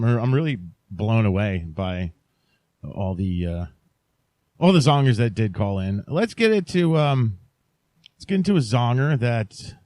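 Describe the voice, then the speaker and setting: Halting male podcast host, very dry sound. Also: mid-range voice, darkish tone, dry recording. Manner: halting, mock-sincere joking. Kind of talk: casual rambling podcast chat.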